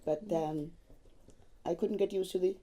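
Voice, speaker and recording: A woman's voice: fairly low pitch, steady tone, measured pace, mellow, slight background noise. An older Jamaican woman telling stories casually and acting out remembered exchanges. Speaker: interviewee, former hospital worker. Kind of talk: animated casual storytelling.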